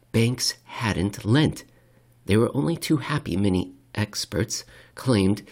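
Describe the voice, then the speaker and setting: A man with a fairly low voice reads a scripted commentary aloud. His delivery is measured and theatrical, and he sets phrases apart with deliberate rhetorical pauses. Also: a little mellow. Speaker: reader of a financial commentary podcast. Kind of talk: dramatic scripted essay reading.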